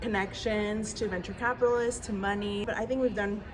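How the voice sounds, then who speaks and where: A woman from Mexico, a brand co-founder, presenting in a friendly pitch video in a warehouse. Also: fairly even intonation, fairly quick, a crowd in the background, low-quality recording.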